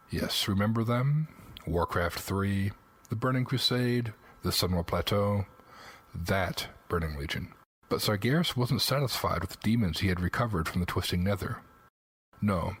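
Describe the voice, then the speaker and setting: A man's voice: low-pitched, husky, no room sound. A man narrating scripted fantasy lore as a segment host, in a dramatic storytelling manner with rhetorical questions.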